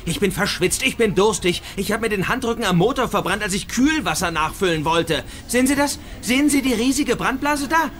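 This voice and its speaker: A man's voice: high-pitched, lively intonation, a bit bright. An actor playing a flustered, easily exasperated male cruise passenger who stammers and halts, in naturalistic radio drama style. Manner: stammering, halting. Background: music.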